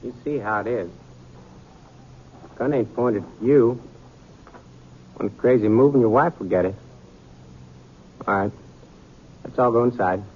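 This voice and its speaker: Actor as a slow-talking, menacing western outlaw. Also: male voice, mid-range voice, close to an everyday voice. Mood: cold menace. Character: menacing, vengeful male outlaw holding a family hostage. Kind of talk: 1950s radio western acting. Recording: tinny, faintly noisy old radio recording.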